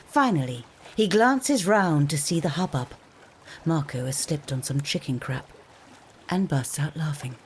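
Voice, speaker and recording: Female narrator for script stage directions, lowish pitch, light background ambience. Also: a little mellow, slight background noise. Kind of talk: scripted narration of a screen musical.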